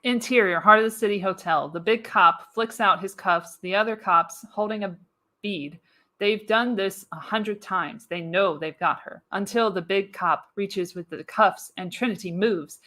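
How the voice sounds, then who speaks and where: A woman's voice: medium pitch, unhurried, pushed and bright. A woman reading scripted action as the narrator of a live radio play, with dramatic storytelling emphasis.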